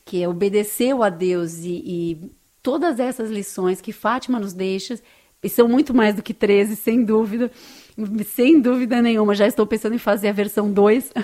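A woman's voice: medium pitch, moderate pace, mellow. A woman with a Brazilian Portuguese accent speaks as an interview guest. She sounds earnest and enthusiastic, and often checks in with her listener using tag questions. Her voice sits in a middle register. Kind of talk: interview answers.

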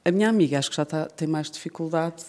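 A woman's voice: low voice, fast talker, a crowd in the background. A woman, a guest writer talking reflectively and playfully, often laughing, on a stage before an audience. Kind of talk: reflective conversation.

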